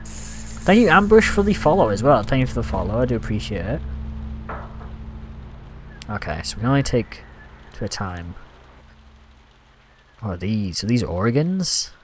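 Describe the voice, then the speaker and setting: A man's voice: fairly low pitch, big pitch swings, normal speed, pauses often, slight background noise. A Scottish man chatting casually and rambling as he streams a game, reacting aloud to what happens on screen. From Scotland. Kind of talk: casual live-stream commentary.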